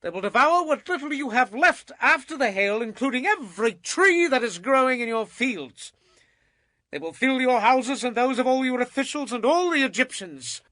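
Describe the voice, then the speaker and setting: An actor playing Moses as a bold, defiant Hebrew prophet in a dramatized audio Bible, speaking emphatically. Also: male voice, very high-pitched, lively intonation, moderate pace, pushed and bright, no echo, heavily acted. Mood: defiant warning. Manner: declamatory, emphatic.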